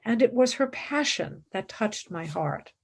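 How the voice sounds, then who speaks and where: A woman's voice: medium pitch, big pitch swings, measured pace, gravelly, husky, full character voice. An actress playing a fervent, devout woman philosopher and mystic in a radio play, speaking in intense, formal, reverent declamation. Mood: calm, resolute. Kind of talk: radio play acting, monologue and dialogue.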